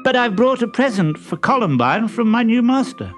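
A man's voice: extremely high pitch, very animated, moderate pace, a bit bright, faint music behind, pitched above the performer's own voice, exaggerated voice. A man voicing a kind-hearted, mischievous male servant with a playful, mock-innocent manner. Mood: playfully sly.

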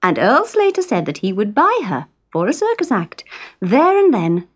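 A woman's voice: a little high, huge pitch swings, normal speed, husky, pitched above the performer's own voice, exaggerated voice. A woman voicing a cheerful, boastful working-class father. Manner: hearty, pleased with himself.

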